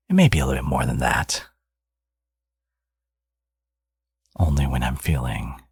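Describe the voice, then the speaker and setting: A man playing a smug, teasing supervillain and doting new father in an intimate ASMR audio roleplay, murmuring playfully and cockily in a breathy, gravelly voice. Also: sits low, a little mellow, no room sound, studio quality, full character voice. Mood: teasing, cocky. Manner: playful, cocky, murmuring to a lover.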